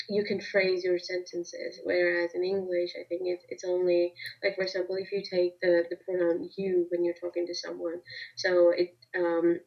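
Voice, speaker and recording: A woman from Pakistan whose first language is Urdu, chatting on a video call with many fillers and restarts. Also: on the low side. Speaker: guest. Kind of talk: casual video call chat. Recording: muffled lo-fi video call audio.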